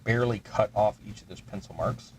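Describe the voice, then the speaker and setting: A man's voice: on the low side, a little fast, noisy background. A man hosting a terrain-crafting channel, chatting casually and muttering to himself as he builds. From Texas. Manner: rambling, mutters to himself while working. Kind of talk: casual chat while building miniatures.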